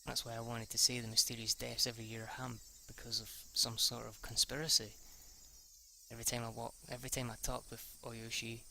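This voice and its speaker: A man with a sharp, very bright voice reads a visual novel aloud in an amateur let's play style. His pitch range is fairly narrow and his voice has some gravelly creak. He tends to trip over words and go back over them. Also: level tone, brisk, slight background noise. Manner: stumbles over words and rereads lines; casually foul-mouthed when reacting. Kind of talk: amateur read-aloud narration with off-the-cuff reactions.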